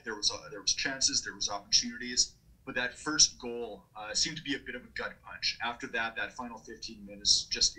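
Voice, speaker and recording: A male sports reporter asks long, winding questions with a very bright, edgy tone and a husky grain. He fills gaps with uhs as he builds his question. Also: medium pitch, poor audio. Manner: hesitant, many uhs between clauses. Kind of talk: press conference questioning.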